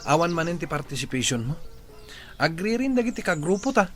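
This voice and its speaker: A man's voice: fairly high pitch, big pitch swings, a little fast, a bit bright. A man playing an exasperated young male classmate in an Ilocano radio drama. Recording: radio drama under clear music.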